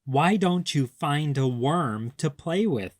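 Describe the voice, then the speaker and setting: A man's voice: fairly high pitch, lively intonation, slow pace, no room sound, clean studio sound, voice pushed up. A man voicing a kind, patient mother bird explaining things to her child. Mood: gentle.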